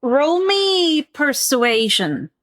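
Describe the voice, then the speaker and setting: A high-pitched woman's voice, slow and measured, in a dry recording. She narrates a tabletop game as the game master, describing each scene. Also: big pitch swings, fairly bright tone, no echo.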